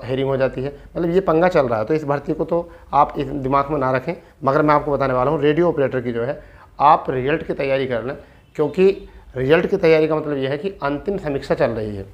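A man in his thirties speaks at a medium pitch. He presents an informal update to his viewers, explaining step by step and restating key points so they stick. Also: highs cut off. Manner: repeats points for emphasis. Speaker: exam-update video presenter. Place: small plain room indoors. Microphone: clip-on lapel mic.

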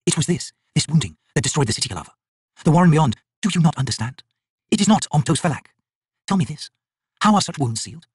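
A stern young shaman of a fantasy tribe, voiced by a male narrator. He sounds cold and urgent, with a husky edge and lively, forceful pitch swings. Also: fast talker, no echo. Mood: cold insistence.

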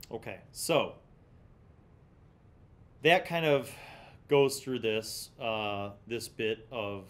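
A middle-aged man with a fairly low voice presents a review at a desk microphone. He moves between reading aloud and explaining, in a deliberate, considered way. A faint rumble sits behind him in the small studio. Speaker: host of a tabletop gaming review series. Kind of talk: review commentary mixed with reading aloud from a magazine. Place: small indoor studio with block wall. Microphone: large condenser mic on desk. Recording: low rumbling background noise.

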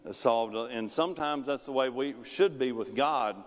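A middle-aged male pastor preaching a sermon in a large church sanctuary, pacing the stage. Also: moderate pace. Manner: preaching with wide swings in pitch and loudness, pacing the stage. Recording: long church hall reverb.

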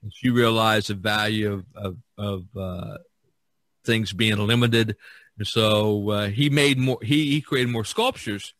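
A man's voice: on the low side, fairly even intonation, a little slow, a bit bright, no room sound. A man hosting a podcast in casual discussion, talking in a hesitant, meandering way. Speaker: podcast host.

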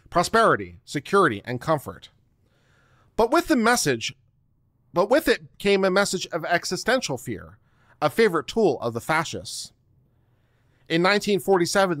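A middle-aged man giving a theatrical, deliberate dramatic reading of a written text into a close microphone. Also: fairly high pitch, a bit bright, dry recording. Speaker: online show host. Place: small home studio room. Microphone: close microphone on boom arm.

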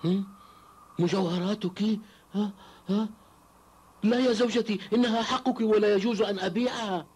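A man's voice: sits high, fairly even intonation, moderate pace, long pauses, a little mellow, husky. A man playing an idle, boastful young peasant husband in a cartoon dub, with a theatrical, exclamatory delivery. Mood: astonished.

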